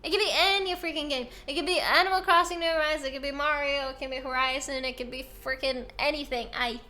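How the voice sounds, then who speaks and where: A woman's voice: high-pitched, fairly even intonation, quick pace, sharp tone. A young Norwegian woman with a Norwegian accent streaming a video game, chatting casually and answering chat, a little self-deprecating. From Norway.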